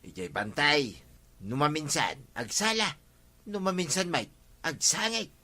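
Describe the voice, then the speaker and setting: A man with an Ilocano accent playing an excitable village man in a comedy drama. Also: on the high side, unhurried, full character voice. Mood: explaining.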